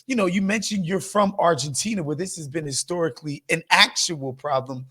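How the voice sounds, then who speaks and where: Informal man hosting, slightly higher voice. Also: a little fast, pushed and bright, slightly rough. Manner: informal, slangy, some swearing. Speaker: podcast host. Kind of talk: casual conversational podcast hosting.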